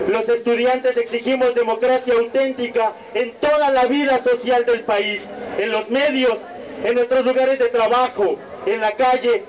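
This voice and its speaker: A young man with a Mexican accent reading a solemn protest speech as a student speaker, in a measured, declamatory cadence. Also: very high-pitched. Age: young adult. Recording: muffled lo-fi recording with faint noise.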